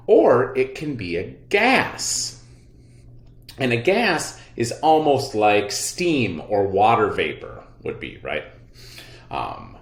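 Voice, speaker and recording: Male schoolteacher for kids' read-aloud lesson. Very lively intonation, expressive delivery, echoey room sound. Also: lowish voice. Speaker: elementary teacher.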